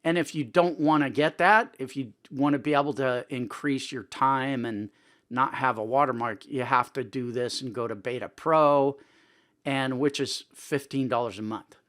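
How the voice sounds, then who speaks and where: A man's voice: mid-range voice, a bit bright. A man presenting a casual tutorial in a conversational way, thinking aloud as he goes. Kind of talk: casual screen-recording tutorial talk.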